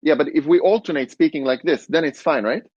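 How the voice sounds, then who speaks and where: A man on a conference panel explaining design, relaxed, with fillers and restarts. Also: on the high side, fairly quick, breathy edge, no echo, dull audio. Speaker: robot interaction designer.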